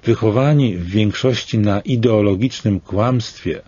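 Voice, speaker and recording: A man in his sixties reading a scripted commentary in a slow, grave and deliberate way, with rhetorical questions. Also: on the low side, dark tone, husky, no room sound, muffled recording.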